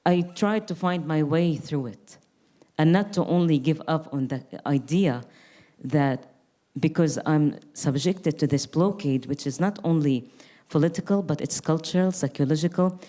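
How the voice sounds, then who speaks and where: Young woman from gaza talking on a panel, low mellow voice, thoughtful, restarts a lot. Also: unhurried. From Gaza Strip. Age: young adult. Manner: reflective, earnest, with frequent restarts. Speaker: artist. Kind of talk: panel conversation.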